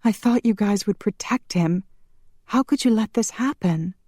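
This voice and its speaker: A woman's voice: mid-range voice, moderate pace, warm tone, dry recording, studio quality, pitched above the performer's own voice, natural voice. A woman narrator voicing a distraught young woman in an audiobook, tearful, pleading and indignant. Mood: indignant, anguished. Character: distraught young vampire woman pleading for her dying father.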